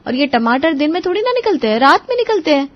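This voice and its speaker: A woman's voice: high voice, sing-song, quick pace, muffled recording, voice pushed up. A woman playing a clever, burdened young daughter-in-law who speaks expressively in a cartoon moral story. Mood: coaxing.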